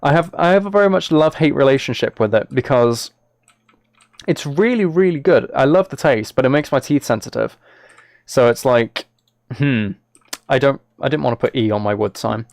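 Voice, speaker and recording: Male streamer, mid-pitched, casual and chatty, talking to viewers while playing, very dry recording. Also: expressive, moderate pace, vocal fry, darkish tone, no room sound. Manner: chatty, thinking aloud as he plays. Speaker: live streamer. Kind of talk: casual live game stream chat, replying to viewers.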